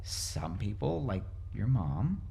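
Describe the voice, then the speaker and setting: Man playing a weary, reassuring father, animated pitch. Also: creaky, a crowd in the background, exaggerated voice. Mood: careful, explaining. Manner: halting, careful explaining. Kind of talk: audiobook character acting.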